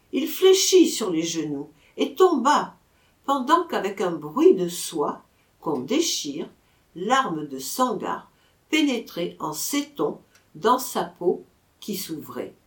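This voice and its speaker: Female literary narrator: unhurried, dramatic phrasing, animated melody, recorded in a roomy space. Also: medium pitch. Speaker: audiobook narrator. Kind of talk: expressive literary story narration. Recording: roomy, echoing room sound.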